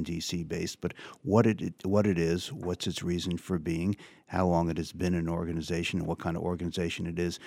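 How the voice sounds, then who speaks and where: A man's voice: sits low, creaky, darkish tone, dry recording. A man hosting a radio talk show, asking earnest, emphatic questions into a studio microphone. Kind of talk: radio interview.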